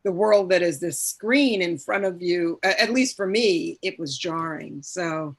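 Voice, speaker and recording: A woman speaking as an actor reflecting in casual discussion, deliberate, pausing to search for her words. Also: lowish voice, slightly rough.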